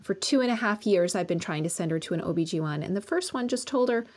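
A woman's voice: mid-range voice, animated, quick pace, a little mellow. A woman acupuncturist who practices in Colorado, a guest speaking earnestly in long run-on stretches in a casual professional conversation.

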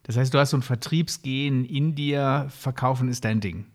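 A man's voice: mid-range voice, normal speed. A man co-hosting a podcast, asking informal questions with hesitant fillers. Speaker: podcast co-host.

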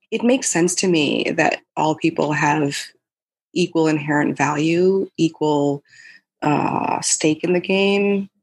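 Woman in her 30s, low voice, reflective guest answers, lots of restarts. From United States. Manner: thoughtful, many restarts and "you know". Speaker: podcast guest.

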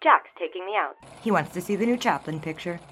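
An American woman playing a brisk, unimpressed 1920s newspaper office worker with a dry, sarcastic manner on the telephone. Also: fairly low pitch, expressive, fairly bright tone, breathy edge, faint music behind. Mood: breezy. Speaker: actress in an audio drama.

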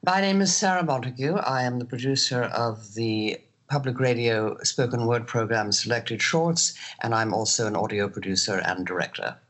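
Husky woman on a roundtable, really articulate, kinda academic way of talking. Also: very deep voice, normal speed. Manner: articulate, formal and academic in phrasing. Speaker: radio producer and panelist. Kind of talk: thoughtful roundtable discussion.

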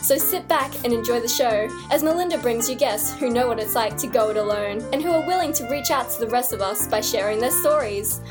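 A woman's voice: on the high side, lively intonation, brisk, hardly any pauses, over music. A woman reading a scripted podcast intro in an upbeat, inviting tone. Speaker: show announcer.

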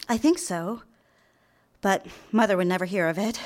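An actress playing an earnest, polite young career-minded secretary in a live radio drama. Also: female voice, mid-range voice, normal speed, darkish tone, natural voice. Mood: warm, hopeful. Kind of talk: live radio drama acting with some narration.

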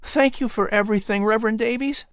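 A narrator voicing an eager, grateful young colonial boy with an earnest, excitable manner. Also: medium pitch, fast talker, clean tone, dry recording, clean studio sound, pitched above the performer's own voice, close to an everyday voice. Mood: delighted, grateful.

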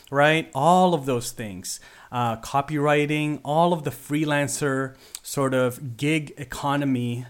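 A man in his forties who grew up in the Philippines, talking casually to camera with enthusiasm and lots of fillers and restarts. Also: fairly high pitch. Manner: enthusiastic, proud. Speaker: content creator.

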